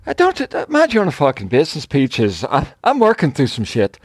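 A man's voice: on the high side, wildly swooping pitch, normal speed, husky, no room sound, studio quality, pitched above the performer's own voice, exaggerated voice. A man voicing a prickly female street mercenary with a put-on Venezuelan accent, speaking in a snappish, defensive way.

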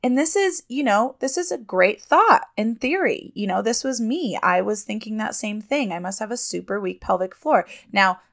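A woman's voice: mid-range voice, animated, normal speed, vocal fry, hardly any pauses. A woman in her thirties hosting a podcast in a chatty, emphatic way, a little sniffly and congested. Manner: chatty, emphatic, laughs often. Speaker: podcast host. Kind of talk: casual podcast talk.

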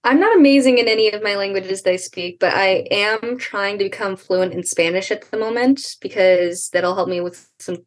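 A young American woman, a podcast guest, talking thoughtfully with frequent ums and pauses. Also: medium pitch. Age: young adult. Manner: thoughtful, hesitant, many ums. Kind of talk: casual interview.

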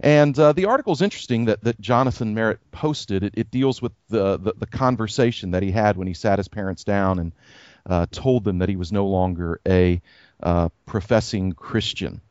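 A man co-hosting a casual podcast chat as a pastor, soft-spoken and halting, with many fillers and restarts. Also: lowish voice, no echo. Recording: dry, dull lo-fi sound.

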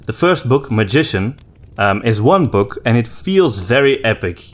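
Guy on a podcast just chatting, average pitch, kinda halting, repeats words as he goes. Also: very animated. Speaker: podcast co-host and story writer. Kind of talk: casual conversational discussion.

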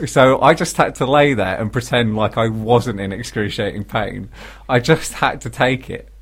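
A British man co-hosting a radio show, chatting casually and telling self-deprecating comic stories in an animated way. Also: mid-range voice, moderate pace, breathy edge. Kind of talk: casual comic chat, anecdote.

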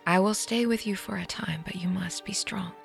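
A wise old fanged aunt, calm and tender, murmuring in a low, gravelly hush, as a woman puts her on. Her soft, steady words come out half whispered. Faint music sits behind. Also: measured pace. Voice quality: hushed and breathy.

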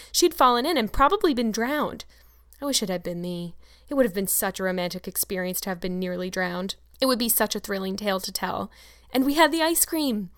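A woman reading an audiobook voices an excitable, imaginative young girl with gushing, breathless enthusiasm. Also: fairly high pitch, pitched above the performer's own voice, close to an everyday voice.

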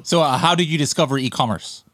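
A man's voice: on the high side, quick pace, a bit bright, dry recording. A man hosting a podcast interview in a loose, chatty way, full of fillers and restarts. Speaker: podcast host. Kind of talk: casual interview chat.

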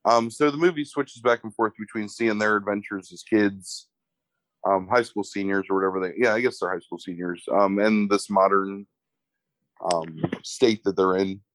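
A young man, congested, describing a movie in a casual, halting way full of fillers. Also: lowish voice, no echo, low-quality recording. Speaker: movie podcast co-host. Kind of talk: casual film review chat.

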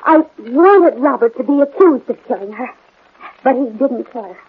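A guilt-ridden, vengeful woman in a 1940s radio melodrama. Her high voice confesses in halting, emotional phrases, through the narrow, muffled sound of an old broadcast. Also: expressive, husky, heavily acted. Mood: distraught, confessing. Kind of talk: 1940s radio crime drama acting.

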